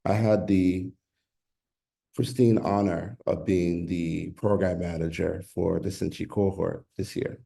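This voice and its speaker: Deep gravelly man, proud formal intro. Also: steady tone, unhurried, mellow. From the Bronx, New York. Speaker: program manager. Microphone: video call.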